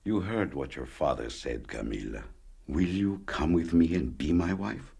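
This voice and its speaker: An actor playing a sad, lonely old widower in a radio play, tender and halting, with a gravelly, husky voice. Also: male voice, extremely low pitch, lively intonation, mellow, heavily acted. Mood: gentle, hesitant.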